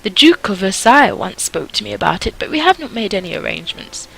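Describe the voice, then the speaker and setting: A woman's voice: medium pitch, sing-song, quick pace, gravelly, fairly bright tone, slightly rough, heavily acted, poor audio. A woman voicing a proud, deferential father who speaks humbly, formally and eagerly.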